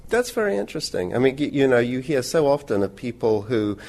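A male radio host asking questions in a measured, thoughtful way. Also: mid-range voice, very animated, a little mellow. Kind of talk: radio interview.

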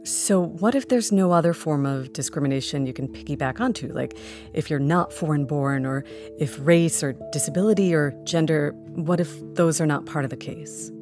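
Thoughtful low-voiced female co-host. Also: normal speed, darkish tone, faint music behind. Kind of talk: casual chat.

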